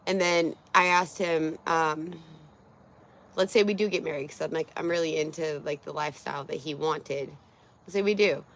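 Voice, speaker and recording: Raspy-voiced woman chatting casually. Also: deep voice, normal speed, poor audio. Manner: rambling, many fillers and restarts. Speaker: vlog host. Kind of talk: casual chat.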